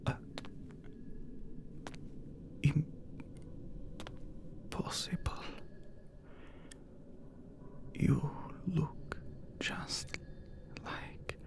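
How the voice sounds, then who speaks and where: A man playing an ancient, courteous, brooding vampire count in an ASMR roleplay, speaking in a breathy, gravelly hush with formal phrasing and long pauses. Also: lightly acted. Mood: awed, tender. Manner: slow, formal, hushed, with long dramatic pauses. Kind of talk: ASMR romantic roleplay, scripted character acting.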